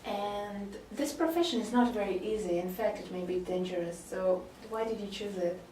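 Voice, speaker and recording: A woman's voice: medium pitch, moderate pace, dark tone. A woman acting as interviewer in a casual role-play job interview, asking questions with brief encouraging interjections. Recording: echoey room, mic at a distance, faint noise.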